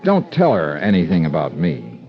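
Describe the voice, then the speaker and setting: A man's voice: low-pitched, huge pitch swings, normal speed. An American actor playing a wry, hard-boiled male investigator in a 1950s radio detective drama, clipped and confiding. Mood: probing, flirtatious. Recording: dull vintage radio recording with music.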